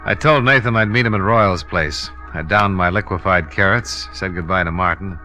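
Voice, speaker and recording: An actor playing a wry, hard-boiled American insurance investigator with a dry, wisecracking delivery in old radio style. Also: male voice, low-pitched, normal speed, natural voice. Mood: dry narrating. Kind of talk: 1950s radio detective acting and first-person narration. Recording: old radio drama with music behind.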